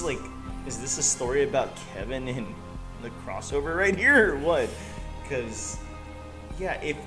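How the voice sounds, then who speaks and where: A man with a mid-pitched voice chats casually as a co-host on horror stories. He talks in a slangy way, working out his thoughts aloud. Music is clearly audible behind him. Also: poor audio. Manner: slangy, conversational, thinking aloud. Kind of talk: casual chat. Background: background music.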